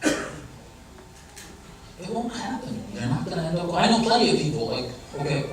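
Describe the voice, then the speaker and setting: A man gives a religious lecture in a conversational way, often asking his listeners questions. His voice sits a little high. It is heard from some distance across a hall with a heavy echo and a faint noise underneath. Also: big pitch swings, unhurried. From Iranian family background. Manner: conversational, asks the audience questions. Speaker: speaker giving a religious lecture. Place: large hall or center.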